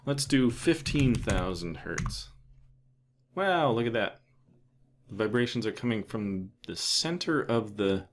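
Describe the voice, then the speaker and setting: A man narrating an informal software tutorial, thinking aloud in a casual way with trailing pauses. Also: lowish voice, gravelly, a little hiss or hum. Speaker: software developer presenting a tutorial.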